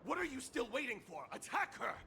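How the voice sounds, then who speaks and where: A man's voice: very high voice, very animated, fairly quick, sharp tone, exaggerated voice. A man voicing an alarmed, aggressive henchman in a video game, urgently barking orders. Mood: panicked, urgent. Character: alarmed, aggressive disguised wolf-folk henchman. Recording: noisy game ambience behind.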